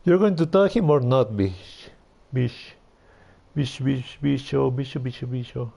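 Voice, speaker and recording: A man's voice: medium pitch, a little slow, gravelly, dark tone, a little hiss or hum. A man streaming a game with casual, teasing, playful commentary. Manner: teasing, playful name-calling. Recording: dull, muffled mic over game music.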